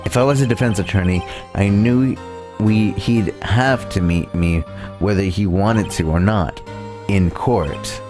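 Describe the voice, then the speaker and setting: A man voicing an earnest, loyal young male defense attorney from a video game, reading a little haltingly. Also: on the low side, lightly acted. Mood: earnest, determined. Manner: halting, stumbling over lines. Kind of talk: reading visual novel game dialogue aloud. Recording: music playing behind the voice.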